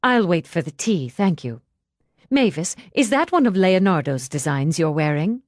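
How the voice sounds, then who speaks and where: A woman voicing a composed, polite female doctor with a measured, gently amused manner, in an audiobook. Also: lowish voice, lively intonation, no echo, studio quality, close to an everyday voice.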